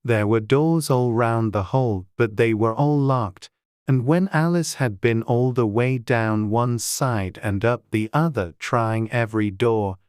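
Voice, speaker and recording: An audiobook narrator reading a children's story in a measured, deliberate storytelling way. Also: medium pitch, a little mellow, smooth, no room sound, clean studio sound.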